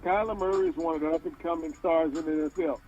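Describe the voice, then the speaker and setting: A man's voice: on the high side, fairly even intonation, fast talker, darkish tone, dull audio, soft background music. A man co-hosting a casual sports talk show, giving short, confident verdicts on where teams will finish.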